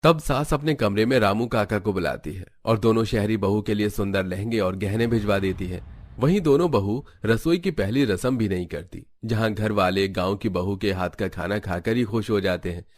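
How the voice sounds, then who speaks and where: A man's voice: on the low side, moderate pace. A man reading scripted narration for a family storybook cartoon.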